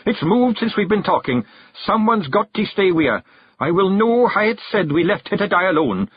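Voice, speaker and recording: Bossy little fairy clan chief, voiced by a man, thick Scottish brogue, high and animated, dull lo-fi sound. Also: moderate pace, slightly rough, dry recording. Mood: commanding.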